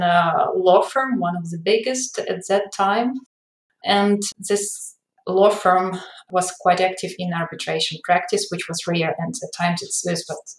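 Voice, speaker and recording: A woman's voice: medium pitch, echoey room, low-quality recording. A woman in her forties, a lawyer being interviewed on a podcast, who explains things carefully with small slips in her English. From Ukraine. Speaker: arbitration lawyer, guest.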